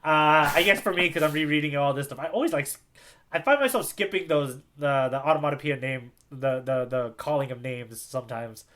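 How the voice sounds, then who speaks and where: Dude on a manga podcast, kinda higher voice, jokey casual co-host banter. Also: male voice, brisk, fairly bright tone. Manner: jokey, playful. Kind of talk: casual chat about manga panels.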